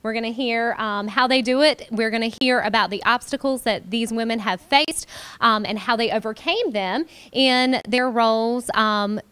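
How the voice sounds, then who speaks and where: A woman's voice: on the high side, steady tone, normal speed, a bit bright, clean tone. A woman opening a college panel, projecting her teacher voice to the room with frequent ums. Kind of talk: event welcome speech. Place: warm college room with an audience.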